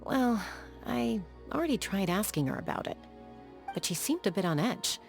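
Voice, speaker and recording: A woman playing a calm, battle-hardened young sky gunslinger in a video game, measured, thoughtful and matter-of-fact, with a dry edge. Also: medium pitch, creaky, faint music behind. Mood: curious. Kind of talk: video game character voice lines.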